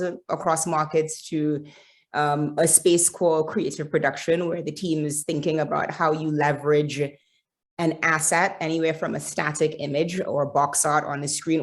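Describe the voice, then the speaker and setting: A woman from Trinidad and Tobago with a Trinidadian accent, introducing herself on a panel in a chatty, self-assured way over a video call. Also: low voice.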